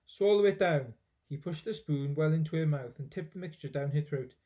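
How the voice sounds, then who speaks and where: Man, lighter pitch, soft mellow tone, expressive storybook reading, dramatic emphasis. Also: a little fast. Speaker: teacher reading aloud. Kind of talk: expressive children's storybook narration.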